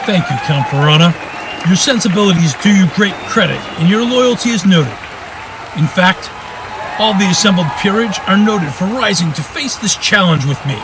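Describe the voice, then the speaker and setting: A man's voice: high-pitched, animated, moderate pace. An actor playing a commanding, vengeful new king who makes pompous proclamations in a fantasy audio drama. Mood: regal, stern. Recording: faint crowd and noise behind. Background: faint crowd ambience.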